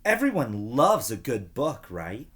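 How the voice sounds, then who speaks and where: A man's voice: mid-range voice, wildly swooping pitch, measured pace, fairly bright tone. A man voicing an enthusiastic schoolgirl class candidate, keen and upbeat, in a storybook reading.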